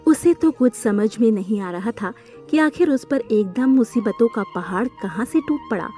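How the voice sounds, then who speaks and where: A woman's voice: fairly high pitch, lively intonation, normal speed, warm tone, soft background music. A woman narrator reading a scripted children's story for a cartoon.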